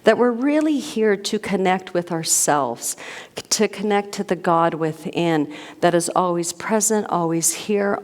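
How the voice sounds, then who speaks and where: Low-voiced woman minister in a reverberant sanctuary. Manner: warm, gushing, rambling with repeated "so". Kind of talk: sermon welcome and announcements. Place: church sanctuary.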